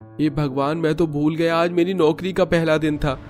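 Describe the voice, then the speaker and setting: Man acting young man, raised pitch, anxious, earnest, polite, fretful, cartoon story, background music. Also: steady tone, normal speed, darkish tone, natural voice. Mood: worried, flustered. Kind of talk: Hindi cartoon story dubbing.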